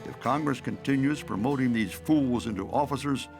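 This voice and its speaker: A man's voice: medium pitch, moderate pace, gravelly, over music, close to an everyday voice. An actor playing a weary, elderly statesman with a dry, exasperated way of talking.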